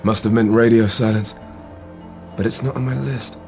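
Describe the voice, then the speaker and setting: A man's voice: fairly low pitch, fairly even intonation, normal speed, loud music behind the voice, lightly acted. An actor playing a cold, scornful male terrorist bomber in a radio drama. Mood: tense, smug.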